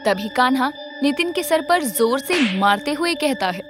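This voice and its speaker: Female storyteller: high pitch, dramatic scripted narration, music running behind. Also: lively intonation, measured pace.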